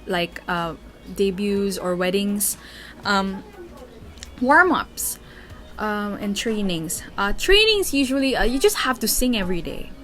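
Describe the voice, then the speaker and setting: A woman's voice: medium pitch, very animated, unhurried, a bit bright, faint music behind. Chatty young Filipino woman. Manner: chatty, reads questions aloud and answers at length. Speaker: blogger answering fan questions. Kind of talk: casual Q&A vlog.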